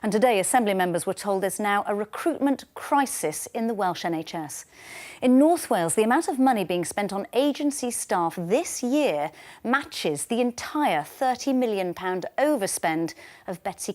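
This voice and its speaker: A woman's voice: mid-range voice, animated, moderate pace, darkish tone. A woman presenting the news, reading a formal script to the audience.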